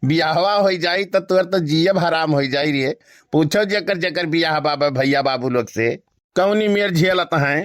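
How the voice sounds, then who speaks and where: High male voice, Awadhi accent, grumbling older villager, teasing, cartoon comedy, dry close sound man acting it out. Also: moderate pace, fairly bright tone, no room sound, natural voice.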